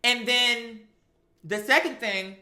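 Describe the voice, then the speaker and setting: A woman telling a personal story in an animated, sassy, emphatic way, with slangy, profane language and acted-out dialogue. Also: fairly high pitch, takes it slowly, roomy sound. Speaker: storyteller recounting a personal experience.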